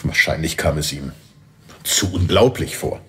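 A grave, deep-voiced father. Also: a little slow, sharp tone, a little hiss or hum, full character voice. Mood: solemn. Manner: solemn and earnest. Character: grave long-lost father, time-war soldier. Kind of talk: audio drama acting.

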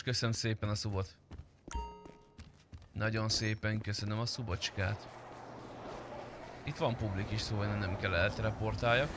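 A man doing casual, slangy and sometimes crude live gameplay commentary as a streamer. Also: lowish voice. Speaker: game streamer. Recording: clear background engine and game noise.

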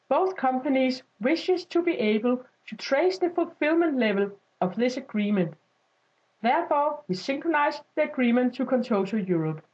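Dull lo-fi recording, high female voice, scripted instructional narration, software walkthrough. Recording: dull lo-fi voice-over.

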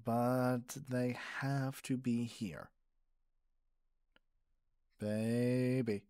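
A man acting in an ASMR roleplay as a gentle, doting caregiver, speaking softly in a soothing, coaxing manner. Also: medium pitch, no echo, clean studio sound. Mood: mildly worried. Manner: soft, soothing, playful coaxing. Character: gentle, doting male caregiver comforting a partner.

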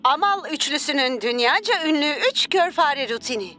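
An actress playing a polished, showy TV sports reporter in a cartoon dub, presenting with emphasis. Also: female voice, high voice, lively intonation, moderate pace, pushed and bright, soft background music. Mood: announcing.

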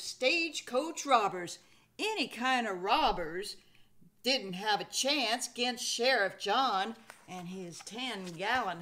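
An older American woman in her sixties reads a children's picture book aloud with expressive, folksy Western storytelling. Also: on the high side. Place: indoor room at home.

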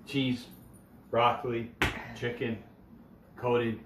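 A middle-aged man casually demonstrating a recipe in his home kitchen, low-key and a little tired. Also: level tone, extremely slow. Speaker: home cook. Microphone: camera across the room. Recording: echoey kitchen with background noise.

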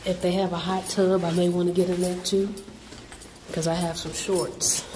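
A young woman truck driver chatting casually to her vlog camera, animated and talkative. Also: on the low side, normal speed. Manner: chatty, animated, addresses viewers as y'all. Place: hotel and truck cab. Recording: background noise.

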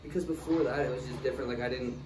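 A young man answering interview questions in a relaxed, casual way, full of fillers. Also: mid-range voice, rapid-fire. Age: young adult. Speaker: guest, tennis player. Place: small room, in doors, seated in armchair. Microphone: far camera. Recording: roomy, distant, noisy.

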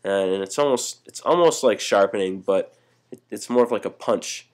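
Laid-back low-voiced man explaining photo edits. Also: moderate pace. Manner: relaxed, many "uh" fillers and restarts. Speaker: photography tutorial host. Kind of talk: casual screen-recorded software walkthrough.

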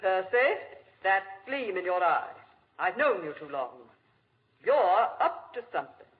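A woman's voice: medium pitch, sing-song, a little slow. An actress playing a brusque, nosy, no-nonsense aristocratic lady in a 1940s radio drama, speaking in bossy, clipped sentences. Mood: suspicious. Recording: dull 1940s radio recording.

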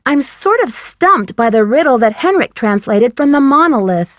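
An actress playing a polite, inquisitive young woman detective in an adventure game, speaking earnestly and clearly. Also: sits high, no echo, clean studio sound. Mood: puzzled, confiding. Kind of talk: scripted game dialogue.

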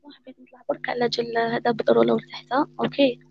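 A woman's voice: a little high, level tone, quick pace. A woman calling into a live stream, speaking defiantly. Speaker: caller. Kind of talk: casual call-in chat. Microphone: phone or video call. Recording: faint breathy lo-fi call audio.

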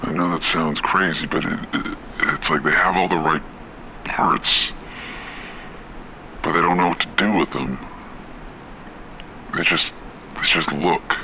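Over faint hiss and noise comes an actor as a haunted, disoriented man with an extremely deep voice full of gravelly fry. He talks haltingly and broodingly, as if giving in to fate in a horror game tape. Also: steady tone, sharp tone, full character voice, rough recording. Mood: confused, uneasy. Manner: halting, hesitant, brooding delivery with trailing repetitions. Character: disoriented, haunted man slipping into dreamy, fatalistic surrender. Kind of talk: horror game taped monologue.

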